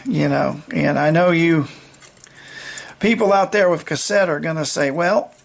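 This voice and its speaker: Creaky-voiced man, folksy and rambling. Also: a little high, measured pace. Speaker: vintage electronics demonstrator. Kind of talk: casual unscripted product demonstration.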